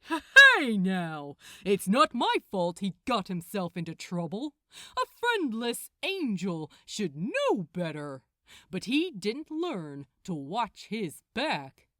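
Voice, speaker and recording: A woman's voice: medium pitch, huge pitch swings, slow, sharp tone, dry recording, studio quality, full character voice. A woman voicing a smug, gloating male archangel with a condescending, theatrical manner in a fan fiction audiobook. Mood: mocking. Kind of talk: acted audiobook dialogue.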